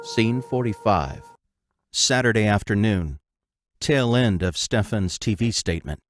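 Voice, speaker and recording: A man narrating an audio drama from a script, announcing scenes in a measured way. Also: fairly low pitch, noisy background.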